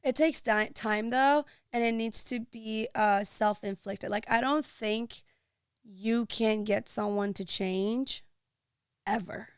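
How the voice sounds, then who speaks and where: A woman's voice: a little high, takes it slowly, smooth, dry recording, studio quality. A woman hosting a podcast, talking earnestly and chattily, full of "like"s. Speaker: podcast host. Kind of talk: casual chat.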